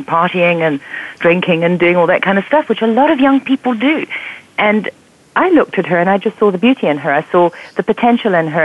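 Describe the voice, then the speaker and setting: A British woman, a communications coach, speaking as a guest in a radio interview with a slightly croaky voice, articulate and reflective. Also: lowish voice. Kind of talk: radio interview over the phone. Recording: dry tinny phone line.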